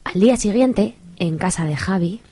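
A woman's voice: mid-range voice, lively intonation, moderate pace, warm tone, slightly rough. A woman reading scene-setting narration for a scripted radio soap.

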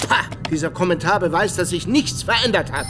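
A man's voice: sits high, animated, brisk, over music. An actor playing a grumpy middle-aged exile in a radio play, irritated and scornful as he talks. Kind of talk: German radio play dialogue.

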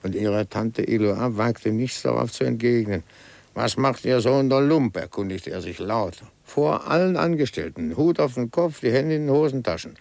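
Older male inspector, gravelly fry, methodical, emphatic, dryly amused, 1950s German radio drama. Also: medium pitch, animated, normal speed, a little mellow, no echo.

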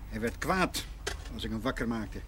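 An actor playing a gentle, modest older retired schoolteacher in a naturalistic seventies television drama, hesitant but earnest. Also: male voice, mid-range voice, lively intonation, moderate pace, natural voice. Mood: homely, wistful. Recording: 1970s television soundtrack with music.

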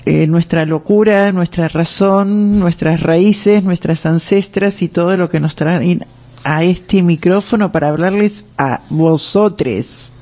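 A man's voice: high voice, takes it slowly, a little mellow. A man co-hosting a community radio show, speaking in an earnest, slightly hesitant way. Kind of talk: casual radio sign-off.

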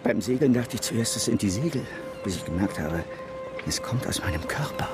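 A man's voice: lowish voice, lively intonation, a little fast, over loud music, lightly acted. An actor playing an ailing, adventurous man in a German radio drama, indignant and theatrical, swinging between brooding and exclamation. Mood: musing.

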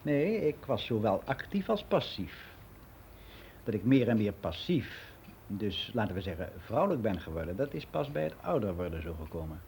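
Mellow older man reminiscing, faint hiss. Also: fairly low pitch, sing-song, moderate pace. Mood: candid, reminiscing. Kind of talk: radio play monologue as a personal testimony.

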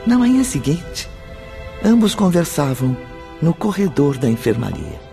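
A man's voice: a little high, huge pitch swings, unhurried, gravelly, warm tone, faint music behind, exaggerated voice. A man reading dramatic scripted narration for a radio drama.

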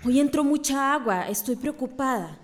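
An earnest village schoolteacher with a Central American accent. Her voice is fairly high, her manner insistent. It is a radio drama with slight background noise. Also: lively intonation, normal speed. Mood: insistent, worried.

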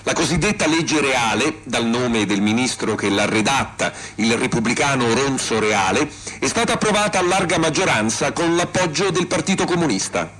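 A man's voice: mid-range voice, big pitch swings, moderate pace, a bit bright. An actor playing a male 1970s newsreader, delivering a formal, declarative bulletin in a radio play. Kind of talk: formal news bulletin reading.

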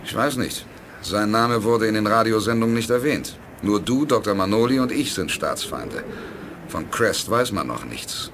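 A man playing a calm, resolute space commander in a 1980s German radio play, firm and earnest in his delivery. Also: fairly low pitch, normal speed. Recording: noisy 1980s radio drama production.

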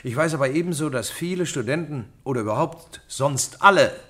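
An actor playing a calm, middle-aged male police detective in a radio drama, measured and dryly ironic. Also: on the high side. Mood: probing. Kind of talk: German radio drama acting.

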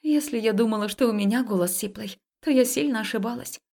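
A woman narrating a first-person romance story as an audiobook, expressive and confessional, acting out the dialogue. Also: on the high side, fast talker, gravelly.

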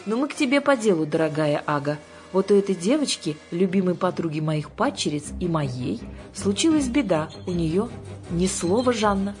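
Женщина играет вежливую, чуть скучающую даму. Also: female voice, lowish voice, animated, normal speed, a little mellow, soft background music, voice pushed up, close to an everyday voice. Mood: polite. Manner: courteous, matter-of-fact. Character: polite, cool, slightly bored woman.

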